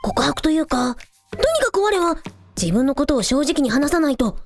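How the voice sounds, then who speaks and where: A woman's voice: sits high, animated, normal speed, gravelly, soft background music. A woman voicing a naive, affectionate dragon in small-child form, talking in a cute old-fashioned way for an audio drama. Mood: shy, anxious. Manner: archaic elder-style speech in a cute child voice. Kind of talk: Japanese audio drama acting.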